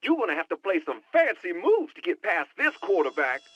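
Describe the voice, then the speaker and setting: A man's voice: sits high, wildly swooping pitch, brisk, highs cut off, background noise. A man voicing a cocky, wisecracking young American hacker sidekick in a video game, slangy and sassy over radio comms. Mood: playful, bragging.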